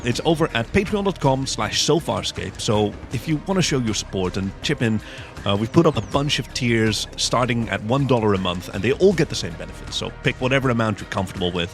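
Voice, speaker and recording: A man's voice: lowish voice, expressive, fairly quick, faint music behind. A man co-hosting a podcast, talking casually and with enthusiasm about an episode. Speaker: podcast co-host. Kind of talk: casual episode review chat.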